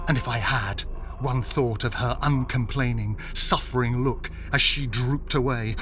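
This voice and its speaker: An actor playing a coldly vengeful, implacable man with intense, deliberate delivery in an audio drama. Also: mid-range voice, noisy background, close to an everyday voice.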